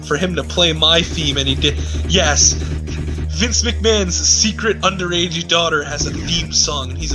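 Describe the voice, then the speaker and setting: A man's voice: fairly high pitch, rough recording. A man streaming a read-aloud of amateur fiction in an amused, mockingly dramatic style with sarcastic asides. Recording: music playing behind the voice. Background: music.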